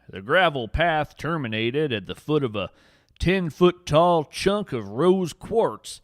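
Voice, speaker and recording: A male podcast narrator telling scripted tales in a theatrical, solemn way. He speaks slowly and lets his pitch rise and fall widely, giving each line a poetic weight. The recording is close and dry. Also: fairly bright tone, no room sound. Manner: theatrical, poetic, solemn delivery of whimsical material.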